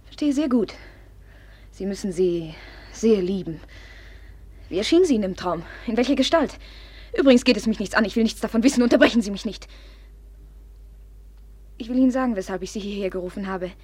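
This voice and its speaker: An actress playing a proud, impulsive, jealous young woman of good family in a classic German radio play, her manner volatile and demanding, her voice breathy. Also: mid-range voice, animated, brisk, long pauses, a little mellow, no echo. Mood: imperious, testing. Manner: imperious, volatile, swinging from confiding to accusing.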